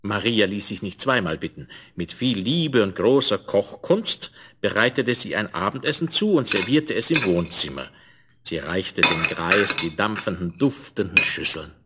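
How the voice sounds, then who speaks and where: A man narrating a scripted fairy tale in a measured, expressive storytelling manner. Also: fairly low pitch, slight background noise. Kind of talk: scripted fairy-tale narration, 1970s German radio play.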